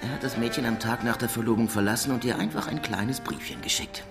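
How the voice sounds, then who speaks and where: A dutiful male police deputy played by an actor in a radio crime drama. He is dry and measured, with a middling pitch and a factual delivery. Faint music runs beneath him. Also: fairly even intonation, natural voice. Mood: dry, wry.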